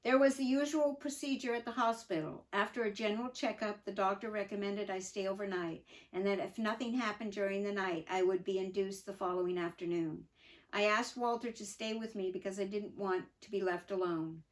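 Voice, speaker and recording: An older woman reading her own memoir aloud in an earnest, reflective way, pausing for emphasis and adding unscripted asides. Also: medium pitch, fairly even intonation, rough recording. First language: English. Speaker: memoir narrator.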